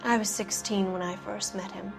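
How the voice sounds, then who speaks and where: An actress playing a jaded, evasive Hollywood producer's wife, cool, knowing and challenging, in a 1940s noir game. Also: female voice, medium pitch, steady tone, normal speed, vocal fry, over music, lightly acted. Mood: bitter.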